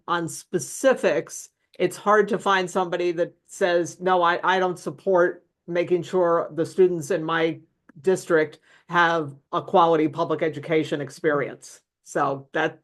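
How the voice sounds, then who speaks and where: An American woman moderating a meeting in a deliberate, conciliatory way. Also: on the low side.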